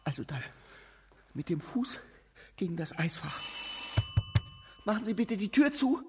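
An actor playing a tiny, fussy and bossy little gentleman in a children's radio play, giving precise, slightly pompous instructions. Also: high-pitched, big pitch swings, unhurried, very noisy, heavily acted. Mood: fussy, instructing.

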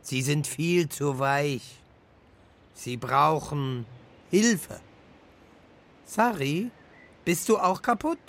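An actor playing an anxious, wrecked family car that talks haltingly and repeats its words, in a children's radio play. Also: medium pitch. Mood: dazed, worried. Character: wrecked talking family car, anxious, urging, machine character.

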